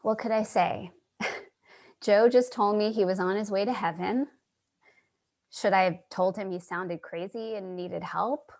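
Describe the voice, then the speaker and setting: A woman's voice: medium pitch, unhurried, long pauses, darkish tone, close to an everyday voice. An actress playing a troubled, earnest loner woman, hesitant and dramatic, in a staged play reading. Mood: wry, troubled.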